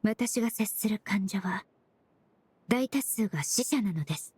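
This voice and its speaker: Woman playing courteous young forensic specialist, medium pitch, composed, formal, measured, anime game dub, slight background rumble. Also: animated, darkish tone, lightly acted. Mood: composed, solemn. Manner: formal, polite, measured, analytical. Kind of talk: Japanese anime game dubbing.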